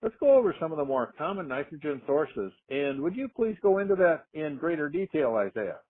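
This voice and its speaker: A man's voice: on the high side, very animated, a little fast, husky. A man hosting a conference call, giving a prepared introduction. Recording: tinny phone conference line.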